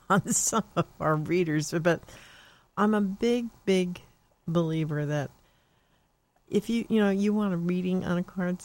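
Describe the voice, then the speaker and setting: A woman's voice: on the low side, measured pace, a little mellow, no room sound. An older woman hosting a psychic call-in radio show, talking in a rambling, chatty way with many fillers. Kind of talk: casual radio call-in talk.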